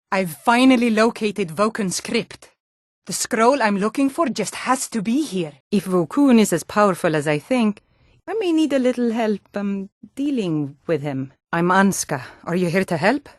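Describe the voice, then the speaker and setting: An actress plays a proud, hardy Nord woman from a fantasy role-playing game. She speaks, firmly and deliberately. The sound is very dry, with no room around it. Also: medium pitch, no echo, close to an everyday voice. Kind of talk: scripted fantasy game dialogue.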